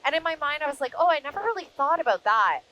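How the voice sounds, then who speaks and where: Female media guest, fairly high voice, animated conversational storyteller, slight background noise. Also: fast talker, muffled recording. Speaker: interview guest, media broadcaster. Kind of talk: conversational interview answers.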